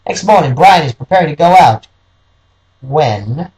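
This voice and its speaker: A man's voice: fairly high pitch, lively intonation, measured pace, a little mellow, rough recording. A man reading scripted noir detective story narration as the narrator.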